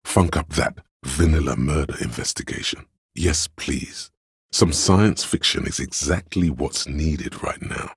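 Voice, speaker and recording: An actor playing the grandiose, artsy inner voice of a detective's imagination in a video game, narrating in a dramatic, florid, deliberate way. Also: male voice, no echo, studio quality, exaggerated voice. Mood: grandiose, enthused. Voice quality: gravelly, husky bass. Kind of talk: theatrical, literary game narration.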